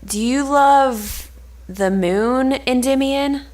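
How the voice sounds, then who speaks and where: Woman reading as slow-paced, scolding sensible friend. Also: sits high, darkish tone. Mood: incredulous, chiding. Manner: reproving, formal reading of archaic lines. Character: sensible, scolding male friend of a lovesick nobleman.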